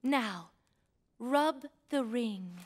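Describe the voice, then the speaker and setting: A woman's voice: on the high side, lively intonation, extremely slow, heavily acted. An actress playing an earnest, dutiful young female genie in a video game, speaking in formal storybook phrases. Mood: solemn, earnest. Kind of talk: video game cutscene acting.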